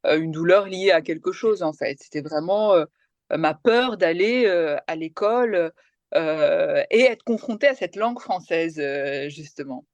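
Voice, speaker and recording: A woman's voice: lowish voice, a little slow, a little mellow, dry recording, lo-fi sound. A woman in her forties from India, raised in France, whose first language was Tamil, giving reflective, personal answers as a guest journalist in an interview. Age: 40s.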